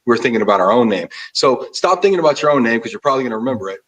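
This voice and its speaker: A man hosting a casual interview, talking in an animated, emphatic way as he gives advice. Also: mid-range voice, very fast, recorded from a distance. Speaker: host. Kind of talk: casual interview and live chat.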